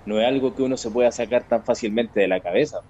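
A man's voice: medium pitch, fast, dark tone, lightly acted. A man acting a haunted, kindly Chilean truck driver in a naturalistic radio drama, plain-spoken, on a muffled recording. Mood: haunted, sombre. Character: haunted, kindly working-class male truck driver, protective.

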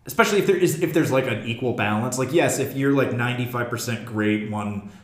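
A man's voice: medium pitch, fast talker. A man hosting a podcast, talking casually in a panel discussion. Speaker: podcast host. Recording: echoey room sound.

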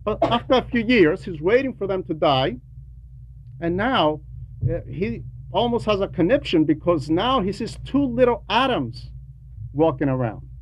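Slow-speaking man preaching, muffled noisy recording. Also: fairly high pitch. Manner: deliberate, emphatic repetition, explanatory. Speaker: preacher reading a translated homily. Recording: dull lo-fi sound with background noise.

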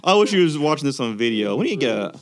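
Animated man hosting a joking group podcast. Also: medium pitch, very fast. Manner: jokey, ringleader prodding the others. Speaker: podcast host. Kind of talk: casual group banter.

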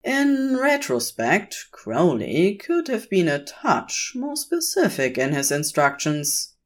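A woman's voice: fairly low pitch, sing-song, takes it slowly. A woman reading scripted fiction aloud as a podfic narrator.

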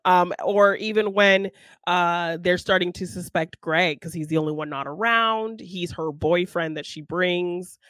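A woman's voice: on the low side, measured pace, fairly bright tone, dry recording, studio quality. A woman co-hosting a casual film chat, retelling scenes in a giggly way and acting out lines as she goes. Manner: giggly, acts out characters' lines. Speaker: podcast co-host discussing films.

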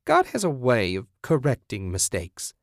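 A man voicing a menacing, sanctimonious, depraved woman in an audiobook, with a raspy, taunting delivery. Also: medium pitch, huge pitch swings, dry recording, studio quality, voice pushed up. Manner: taunting, sinister, drawn-out threats. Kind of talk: dramatic audiobook character voice.

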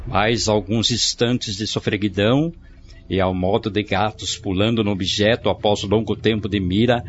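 A man's voice: lowish voice, highs cut off, slight background noise. A man with a Brazilian accent giving formal, dramatic scripted narration for a radio drama.

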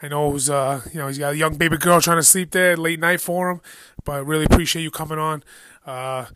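A man hosting a casual sports podcast, excitable and slangy, sounding sniffly from a cold. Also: on the high side, fairly quick, dry recording, clean studio sound. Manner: excitable, slangy, says "bro" a lot. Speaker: podcast host. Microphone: podcast microphone.

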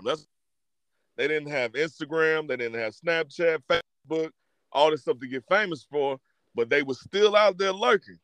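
An American man co-hosting a casual talk show, bantering in animated slang punctuated with interjections. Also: a little high, no echo. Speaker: sports talk show co-host.

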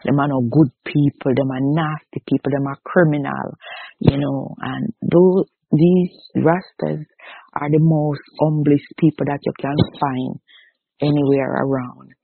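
A man's voice: on the high side, little pitch movement, measured pace, creaky, husky, no echo. A Jamaican-accented man from Jamaica, talking as an interview guest in a plain, earnest, repetitive way. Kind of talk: casual interview talk. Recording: tinny dry phone line.

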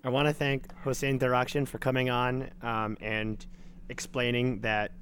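A man's voice: medium pitch, flat delivery, moderate pace, fairly bright tone, slight background noise. A man co-hosting a podcast, bantering in a sarcastic, jokey way. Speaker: podcast co-host. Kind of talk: casual comedic podcast banter.

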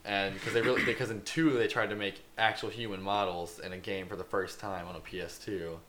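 A man with a fairly low voice co-hosts a casual podcast. He circles back over his words as he gives opinions in an unpolished, conversational way. There is light noise behind him in the recording. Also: steady tone, fairly quick, fairly bright tone. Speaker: podcast co-host. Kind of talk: casual panel chat. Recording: noisy room podcast recording.

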